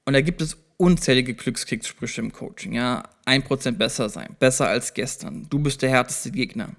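A man's voice: mid-range voice, normal speed, vocal fry, fairly bright tone. Man talking straight to the listener. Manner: addresses the listener directly, explaining step by step; conversational with small restarts. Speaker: podcast host on self-improvement. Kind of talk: solo motivational podcast talk.

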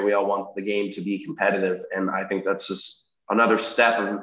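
A young man with a congested, nasal voice, co-hosting a sports podcast in a casual chatty way, with frequent ums and restarts. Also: fairly low pitch. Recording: echoey room, dull lo-fi sound.

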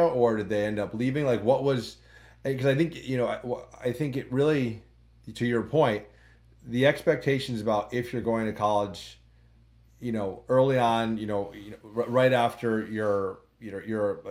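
A man's voice: mid-range voice, a little mellow. A man hosting a podcast interview, asking questions in a rambling way with many restarts and fillers. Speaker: podcast host. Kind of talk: conversational interview.

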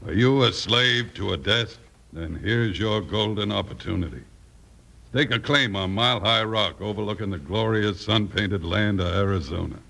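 An actor playing a boastful, scheming western swindler boss in an old time radio drama, gravelly and commanding. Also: male voice, on the low side, normal speed, pushed and bright, heavily acted. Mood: gloating. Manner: gloating, commanding.

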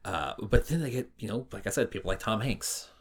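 A man co-hosting a podcast in casual conversation, rephrasing himself haltingly as he goes. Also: fairly low pitch, animated, slightly rough. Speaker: podcast co-host. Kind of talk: casual chat.

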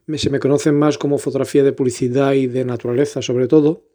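Earnest man with a mellow voice. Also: mid-range voice, little pitch movement, quick pace. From Portugal. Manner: rambling and earnest, with restarts. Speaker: podcast host, photographer. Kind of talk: casual solo podcast monologue.

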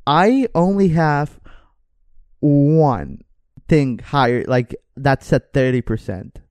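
A man's voice: on the high side, expressive, takes it slowly, darkish tone, clean tone, no room sound. A young man co-hosting a podcast in casual chat, joking around with slang and swearing. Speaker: podcast co-host.

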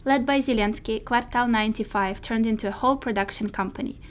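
A woman's voice: on the high side, fairly quick. A woman from Ukraine co-hosting a podcast, speaking in a scripted narration style. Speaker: podcast co-host.

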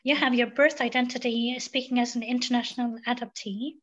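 A high-pitched woman from Sweden speaks as a podcast guest, choosing her words with care and pausing often on fillers. The sound is somewhat dull and lo-fi. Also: steady tone, a bit bright. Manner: thoughtful, many um fillers. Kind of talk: reflective interview talk.

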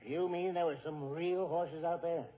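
An actor playing a calm, amiable family doctor in 1940s radio comedy, reassuring and mildly bemused. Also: male voice, fairly high pitch, moderate pace, natural voice. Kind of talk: 1940s radio sitcom acting. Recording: tinny narrowband 1940s radio broadcast.

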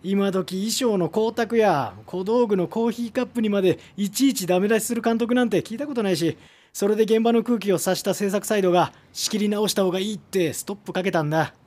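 An actress playing a weary film production colleague in a naturalistic Japanese radio drama, explaining things patiently and a little hesitantly. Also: female voice, medium pitch, quick pace, darkish tone, close to an everyday voice. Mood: exasperated, explaining.

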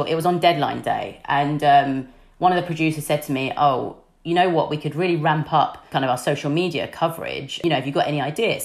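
A young woman with a notably low, husky voice talks through her career in a relaxed interview. She is chatty and candid and leans on casual fillers as she goes. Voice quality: deep, husky voice. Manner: chatty and candid, many fillers like "you know". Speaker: sports news presenter, podcast guest.

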